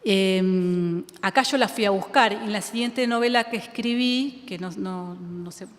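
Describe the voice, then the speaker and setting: A woman's voice: medium pitch, measured pace. Argentine woman writer chatting onstage, lots of ums and restarts, laughs a lot, echoey hall. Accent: Argentine Spanish. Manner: thinks aloud with many fillers and restarts, laughs often. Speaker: writer in a public conversation. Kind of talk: reflective conversational talk. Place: school auditorium with audience. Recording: reverberant hall.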